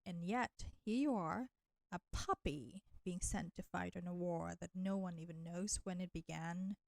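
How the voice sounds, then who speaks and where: A woman's voice: on the low side, gravelly, dry recording. A woman voicing a flirty, nurturing vampire in an intimate roleplay audio drama, teasing and coaxing the listener. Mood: soothing, wry. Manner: slow, teasing, coaxing, addressing listener with pet names. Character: flirty, teasing, nurturing female vampire, ancient enemy turned caretaker.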